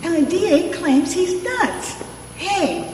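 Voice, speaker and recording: A brash, excitable working-class postwoman as a woman plays her in an old-time radio play. Her voice is high and lively with a drawn-out pace. It rings in a large, echoey space. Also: recorded from a distance, full character voice. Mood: brash, excited. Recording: echoey hall from afar, faint noise.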